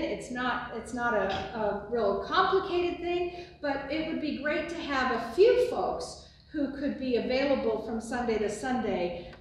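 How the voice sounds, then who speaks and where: An older woman pastor with a booming voice giving church announcements and a benediction, recorded by a distant phone in a large sanctuary. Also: slight background noise. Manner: booming, expressive, gesturing widely. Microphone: phone on a tripod from afar. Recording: echoey sanctuary from far off.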